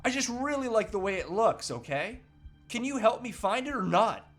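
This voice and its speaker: An earnest husband on an obsessive crusade, acted by a man at a comedy table read. He is melodramatic and determined, with very animated pitch. Faint music plays behind the voice. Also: moderate pace. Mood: earnest, insistent.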